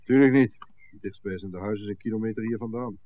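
Casting: male actor as bossy, wary gang leader, lowish voice, vintage radio play on muffled phone-like audio. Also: fast talker, background noise, close to an everyday voice. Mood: menacing, bossy. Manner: curt, bossy. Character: cold, commanding male crime boss, wary and menacing. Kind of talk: 1960s radio drama acting.

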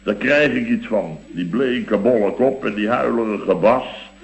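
An actor playing a blustering, sentimental middle-aged building contractor in a radio comedy, rambling and exclamatory. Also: male voice, lowish voice, unhurried, gravelly, dull audio. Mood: exasperated. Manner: rambling, exclamatory, with repeated tag words. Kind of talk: Dutch radio comedy acting.

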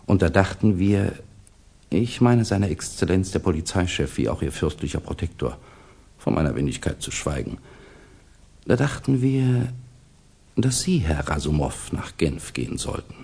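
An actor playing a suave, insinuating Russian official in a 1960s German radio drama, speaking with deliberate, false courtesy. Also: male voice, deep voice, big pitch swings, gravelly, a little mellow, slightly rough, heavily acted. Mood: smooth, insinuating.

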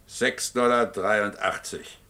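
A man's voice: on the low side, steady tone, takes it slowly, bright, forceful tone, heavily acted. A man playing an impersonal debt-collection official reading out formal letters in a German radio play. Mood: coldly formal. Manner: formal, bureaucratic.

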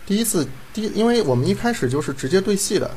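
A man's voice: sits high, sing-song, fast, a little mellow, slight background noise. A man, a drama director, explaining casually in a rambling way, repeating his phrases. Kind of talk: casual explanation in a group chat.

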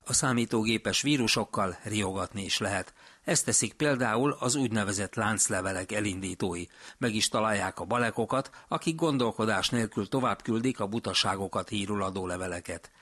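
A man hosting a radio science program, presenting in a calm, matter-of-fact way and asking questions. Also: lowish voice, no room sound, clean studio sound. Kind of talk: scripted radio narration and interviewing.

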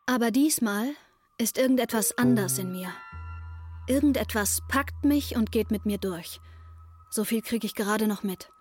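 A woman's voice: a little high, moderate pace, a little mellow, faint music behind, close to an everyday voice. An actress playing an intense, troubled young woman who narrates her breakdown in a wry, matter-of-fact way in a radio play.

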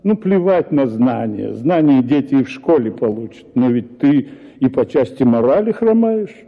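An actor playing a smug middle-aged husband, patronizing and condescending, in a radio play. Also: male voice, mid-range voice, big pitch swings, moderate pace. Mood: lecturing. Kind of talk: radio drama acting. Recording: narrow phone-like radio audio with music.

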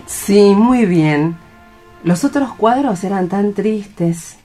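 An actress playing a shy, lonely, childlike young nurse in a radio play, earnest and naive. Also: female voice, mid-range voice, very slow, dark tone, soft background music. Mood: grateful, shy.

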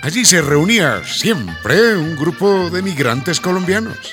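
An actor narrating a radio soap opera as its storyteller, in a scripted style. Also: male voice, a little high, big pitch swings, unhurried, loud music behind the voice. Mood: storytelling.

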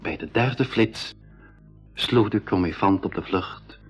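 Husky low explorer telling his adventure story, radio drama narration, dull sound, faint music. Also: slow pace. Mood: suspenseful. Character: male expedition member retelling a jungle adventure.

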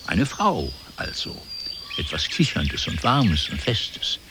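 Very deep, lively male radio-play narrator. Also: slow, noisy background.

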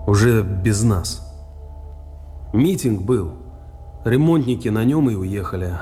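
Weary accused in a reverberant hall. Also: male voice, fairly low pitch, expressive, slow, dark tone. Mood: hesitant, explaining. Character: weary accused man, plain-spoken, haltingly explaining himself. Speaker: radio drama actor. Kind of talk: Russian radio play acting. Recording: long reverberant hall, music underneath.

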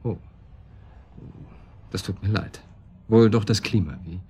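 An actor playing a guarded, formal gentleman answering police questions in a radio drama. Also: on the low side, takes it slowly, breathy edge, heavily acted. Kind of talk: naturalistic German radio drama acting.

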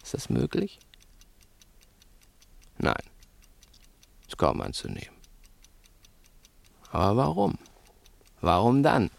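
An actor playing a brooding, taunting, talkative crook in a 1960s German radio play, musing theatrically and condescendingly. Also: male voice, mid-range voice, huge pitch swings, slow, gravelly, long pauses, no echo, heavily acted. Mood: brooding, puzzled.

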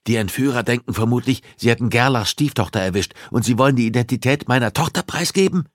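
A man's voice: medium pitch, animated, fast talker, no room sound, clean studio sound, voice pushed up, natural voice. A man voicing a desperate, emotional police detective and father in an audiobook, protesting in agitation. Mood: outraged. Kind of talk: audiobook dialogue acting.

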